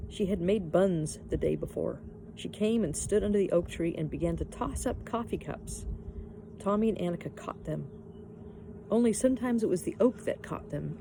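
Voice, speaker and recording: Mellow woman reading a storybook aloud. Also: medium pitch, slight background noise, low-quality recording. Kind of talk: children's storybook narration.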